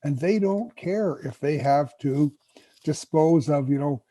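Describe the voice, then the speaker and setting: A man speaking as a guest whisky expert in a casual interview, emphatic and knowledgeable, often clearing his throat. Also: fairly high pitch, unhurried, warm tone.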